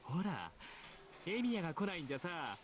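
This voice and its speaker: An actor voicing a smug, condescending teenage schoolboy in a visual novel. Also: male voice, fairly high pitch, big pitch swings, fast, background noise. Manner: condescending, sneering. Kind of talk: Japanese visual novel voice acting.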